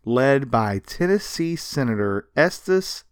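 A man co-hosting a casual, comedic history podcast, telling stories from notes in an animated way with casual swearing. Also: mid-range voice, slow pace, slight background noise. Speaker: podcast co-host.

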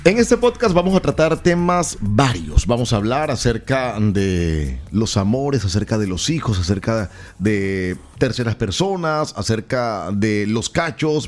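A man with a Colombian Caribbean accent, a radio announcer co-hosting a podcast, telling stories in a playful, drawn-out way. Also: mid-range voice. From Colombia, Caribbean coast. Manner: playful storyteller, drawn-out phrasing. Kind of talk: casual podcast chat.

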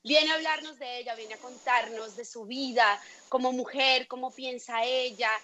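High, bright Colombian woman hosting. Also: fairly even intonation, moderate pace. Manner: enthusiastic, warm praise. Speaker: podcast host. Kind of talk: podcast interview hosting.

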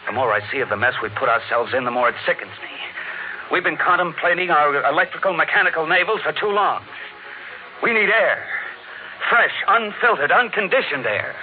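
A man's voice: on the high side, lively intonation, a little fast, roomy sound, phone-quality sound, noisy background, close to an everyday voice. An actor playing an exasperated American father in an old radio drama, with an emphatic, theatrical delivery. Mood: firm, fed up. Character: exasperated father who has turned against his automated house. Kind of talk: mid-20th-century radio drama acting. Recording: thin band-limited old radio broadcast.

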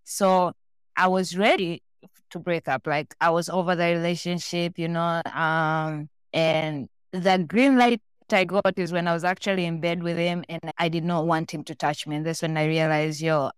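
A woman's voice: on the low side, fairly even intonation, moderate pace, smooth, dry recording. A woman from Tanzania talking casually and candidly as a podcast guest, telling personal stories. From born in Tanzania, raised in several countries.

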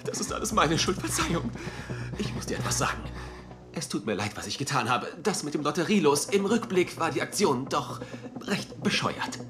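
A man's voice: on the high side, big pitch swings, moderate pace. A man playing a skittish, contrite character who babbles apologies in a German screen dub. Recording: music behind the voice.

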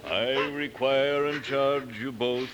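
An actor playing a solemn, formal western parson who speaks ceremonially in an old radio drama. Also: male voice, medium pitch, steady tone, slow pace, dull audio, noisy background, close to an everyday voice. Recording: old radio recording, organ music behind.

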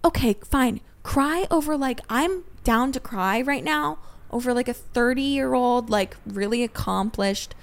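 A woman in her twenties in casual podcast chat, animated and swearing freely, with "like" all through her talk. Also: fairly high pitch, measured pace. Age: 20s.

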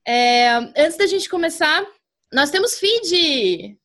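A woman's voice: very high voice, sing-song, takes it slowly, sharp tone. A woman with a Brazilian accent hosting a casual chat podcast in an informal, playful, teasing way. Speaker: podcast host.

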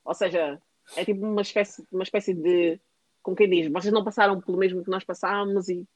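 A woman's voice: lowish voice, fairly even intonation, fast talker, a little mellow, no room sound, highs cut off. A young adult woman in casual conversation, rambling and full of fillers, often restarting her sentences. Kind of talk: casual chat.

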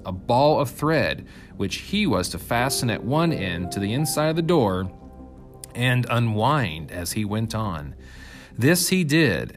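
Low-voiced man narrating a myth. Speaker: reader, narrator. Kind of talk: scripted storytelling narration of Greek myth.

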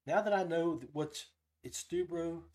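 Higher-pitched man giving a casual tutorial. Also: normal speed, a little mellow. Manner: thinks aloud while demonstrating step by step. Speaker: podcast host giving a software tutorial. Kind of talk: casual live screen-share tutorial.

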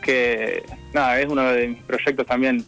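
A man's voice: mid-range voice, unhurried, vocal fry. A young man from Argentina with a Rosario accent, talking casually about his music as an interview guest over a call, with many fillers. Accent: Rosario Argentine Spanish. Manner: relaxed, rambling, lots of fillers. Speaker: saxophonist guest.

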